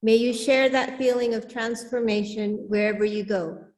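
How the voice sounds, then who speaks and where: A woman's voice: on the high side, steady tone, moderate pace, a little mellow. A woman leading a church service, reading out formally to the congregation. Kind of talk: read benediction and announcements. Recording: echoey hall with long reverb.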